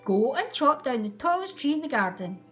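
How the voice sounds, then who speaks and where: Woman voicing a bossy, lively little sparrow. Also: high-pitched, brisk, soft background music. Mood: indignant. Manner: indignant squawking and demanding. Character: bossy, hot-tempered little female sparrow.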